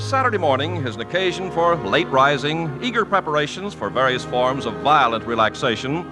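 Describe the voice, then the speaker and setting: A polished American male announcer narrates in 1950s radio style. His voice is a little high and extremely animated, with emphatic phrasing. Music plays clearly behind him.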